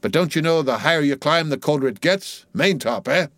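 A man's voice: a little high, expressive, brisk, fairly bright tone, no echo, clean studio sound, voice pushed up. A man voicing a bossy ship's officer in an audiobook sea story, commanding and teasing with mock solemnity.

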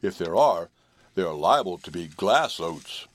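A man's voice: low-pitched, lively intonation, a little slow, a little hiss or hum, pitched below the performer's own voice. A man voicing a weary, scornful old male horse in a storybook reading, grumbling as he talks.

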